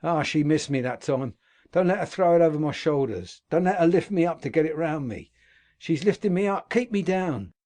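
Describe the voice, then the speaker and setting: A man's voice: medium pitch, moderate pace, a little mellow, close to an everyday voice. A man voicing a dying, terrified man who raves and pleads in panic. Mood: frantic terror. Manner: frantic, raving, pleading.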